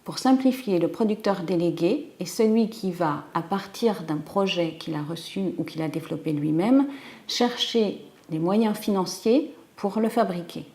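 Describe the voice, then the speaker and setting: A woman in an interview, calmly explaining how animation production is organized. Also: lowish voice. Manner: measured, clear explaining.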